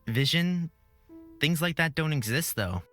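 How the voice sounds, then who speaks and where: A woman's voice: sits low, lively intonation, a little slow, fairly bright tone, voice pushed down. A woman voicing a reserved, earnest and reassuring young male hero in a visual novel. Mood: doubtful. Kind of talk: visual novel character reading. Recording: background game music.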